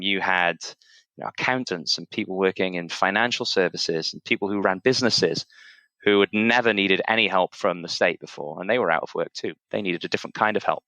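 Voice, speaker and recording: A man's voice: low voice, bright, forceful tone, no echo, low-quality recording. A man in his thirties from Wales with a Welsh accent, a podcast guest talking thoughtfully about his upbringing and career, hedging often with "kind of" and "I suppose". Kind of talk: reflective conversational interview.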